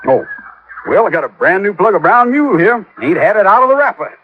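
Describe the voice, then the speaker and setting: A chatty, rustic boat repairman from a vintage radio play, with a Southern drawl. His voice sits high and rises and falls animatedly as he talks in a proud, plainspoken way. The old recording is dull, with noise behind him. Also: big pitch swings, fairly quick. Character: folksy, talkative waterfront boat-repair man, proud and plainspoken. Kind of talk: 1950s American radio drama acting.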